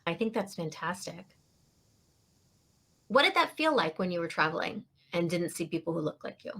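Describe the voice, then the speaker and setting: A woman's voice: lowish voice, big pitch swings, normal speed, sharp tone, breathy edge. A woman hosting an interview podcast, greeting and praising her guest with effusive enthusiasm. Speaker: podcast host.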